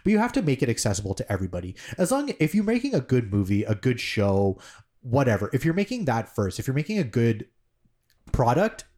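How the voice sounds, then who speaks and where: A man's voice: mid-range voice, huge pitch swings, brisk, darkish tone. A man co-hosting a podcast in casual chat, rambling with lots of fillers and casual swearing. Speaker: podcast co-host.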